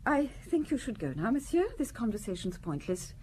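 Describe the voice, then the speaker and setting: An actress in an audio drama playing a cold, aggrieved upper-class wife with a formal, pointed way of speaking. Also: female voice, a little high, sing-song, brisk, a little mellow, slight background noise. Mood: indignant, curt. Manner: formal, haughty, pointed delivery.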